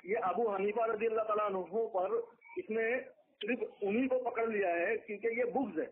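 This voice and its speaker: A man's voice: sits high, brisk, slightly rough. A man making emphatic accusations in a heated religious debate over a phone call, repeating his points. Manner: accusatory, argumentative, emphatic repetition. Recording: tinny phone conference line.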